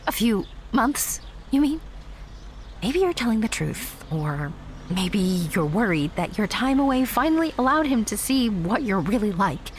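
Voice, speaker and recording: A woman's voice: on the high side, very animated, unhurried, slight background noise. A woman playing a wry young theater girl. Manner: sarcastic, guarded. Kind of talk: audio drama acting.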